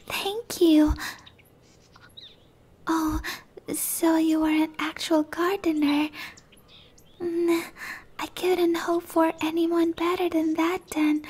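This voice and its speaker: A woman voicing a shy, gentle young dryad in a breathy, hesitant ASMR whisper. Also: extremely high pitch, heavily acted. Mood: grateful, flustered. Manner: hesitant, soft-spoken, earnest. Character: shy, gentle young female dryad, a tree-bound monster girl.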